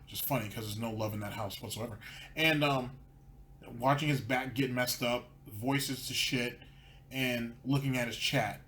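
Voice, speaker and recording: A man rants through his commentary with a sarcastic, mocking edge. His voice sits at an ordinary pitch. A faint hiss of background noise runs beneath him. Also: steady tone, normal speed, fairly bright tone. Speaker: commentary channel host. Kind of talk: ranting commentary over clips.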